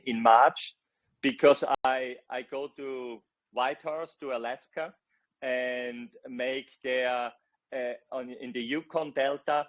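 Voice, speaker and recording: A man's voice: mid-range voice, lo-fi sound. A middle-aged man, a project founder, explaining earnestly in an online AMA and often searching for words.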